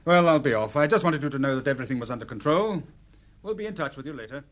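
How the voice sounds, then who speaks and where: Male actor as brit police inspector in a radio mystery, brisk talker, confident, lots of up and down. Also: a little high, animated, natural voice. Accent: British. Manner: businesslike, measured wording, assured. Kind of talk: radio drama acting.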